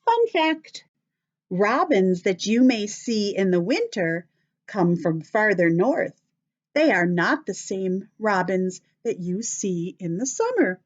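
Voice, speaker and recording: An American woman reading aloud to young children like a teacher, expressive and sing-song, addressing them with questions. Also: medium pitch, slow, lo-fi sound. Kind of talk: children's story read-aloud.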